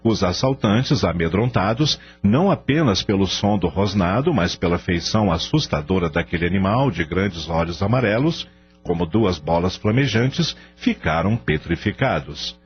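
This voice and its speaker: Low, expressive male narrator over music. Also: moderate pace. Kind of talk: scripted dramatic storytelling. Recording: dull radio-like audio, music behind.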